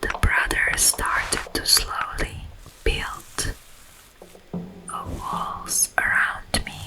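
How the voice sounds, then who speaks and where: Asmr performer doing a sleep paralysis demon, ex monk, all whispers, super slow spooky storytelling w long pauses, music behind. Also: poor audio. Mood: grim, hushed. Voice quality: breathy unvoiced whisper. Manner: slow, drawn-out, deliberate phrases with long pauses. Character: sleep paralysis demon, former monk, recounting its grim past.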